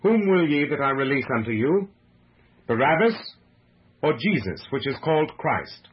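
A man voicing a troubled Roman governor who appeals earnestly and loudly to a crowd in a religious story. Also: fairly high pitch, sing-song, moderate pace, dull audio, voice pushed up. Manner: earnest, loud public oratory. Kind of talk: dramatized religious story reading.

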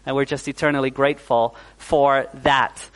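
A man's voice: mid-range voice, flat delivery, moderate pace, low-quality recording. A young man from New Zealand preaching a sermon as pastor to a congregation, often saying "uh" and calling for "amen". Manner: warm, fillers like "uh", calls for "amen".

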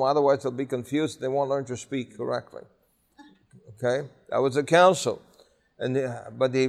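A man teaching a Bible class, conversational and emphatic, often asking the students questions. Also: medium pitch. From New York City.

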